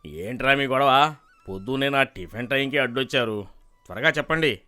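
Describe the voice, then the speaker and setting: A man's voice: mid-range voice, a little fast, bright, forceful tone, faint music behind, lightly acted. A man playing a gluttonous, short-tempered landlord with a pompous, commanding manner in a storybook cartoon. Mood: irritated. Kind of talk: animated storybook dialogue.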